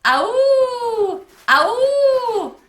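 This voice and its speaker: A woman voicing a campfire legend monster in a storybook, with wild, loud, drawn-out wailing howls in a roomy space. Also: sing-song, slight background noise, exaggerated voice. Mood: eerie howling. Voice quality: loud, drawn-out high howling cry. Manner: wild, spine-tingling wailing.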